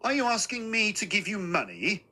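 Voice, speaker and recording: Storybook role: stern suspicious mother, played by a male reader, curt and haughty, forceful, high-pitched delivery. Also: very animated, moderate pace, husky, exaggerated voice. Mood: stern, indignant.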